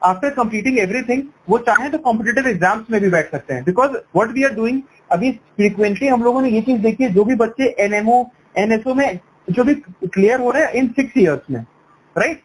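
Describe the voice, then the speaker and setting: High-pitched man making a persuasive sales pitch. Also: moderate pace. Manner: persuasive, explanatory, frequent "right?" tag questions; switches between Hindi and English. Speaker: education sales counselor. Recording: noisy sales call recording.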